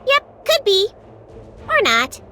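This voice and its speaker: A woman's voice: very high-pitched, wildly swooping pitch, takes it slowly, bright, forceful tone, faint music behind, exaggerated voice. A woman playing a tiny, cheeky, bossy fairy guide in a video game, with a sassy, sing-song delivery. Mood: teasing. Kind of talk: video game cutscene acting.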